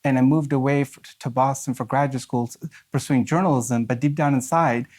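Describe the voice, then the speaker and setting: A man's voice: mid-range voice, fairly even intonation, a little fast, darkish tone. A man in his forties from Afghanistan, raised in Southern California, talking earnestly as an author guest, with many fillers, restarts and light laughs. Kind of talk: conversational interview.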